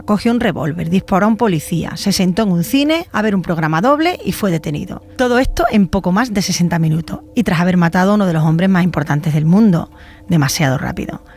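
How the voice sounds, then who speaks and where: A woman's voice: fairly low pitch, darkish tone. A woman with an accent from Spain, hosting a podcast with scripted documentary narration. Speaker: podcast host, journalist.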